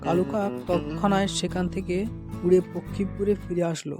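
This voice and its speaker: High-pitched man narrating a cartoon story. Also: steady tone, moderate pace, over music.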